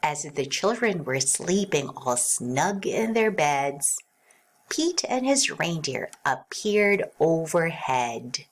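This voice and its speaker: A woman reading a rhyming children's storybook aloud as narrator, in an expressive sing-song way. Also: deep voice, takes it slowly.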